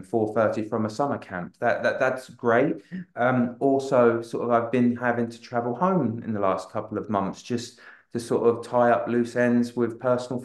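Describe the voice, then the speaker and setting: A man's voice: mid-range voice, darkish tone. A man in his thirties, a real estate agent on a webinar panel, answering at length with many restarts and filler phrases over a video call. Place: room with a large wall map. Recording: roomy video call audio.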